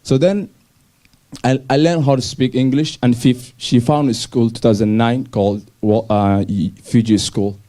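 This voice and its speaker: A young man from Somalia telling his personal story to an audience in simple, halting English with restarts. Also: mid-range voice, fairly even intonation, dark tone. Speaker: speaker sharing his refugee story. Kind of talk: personal story talk on stage.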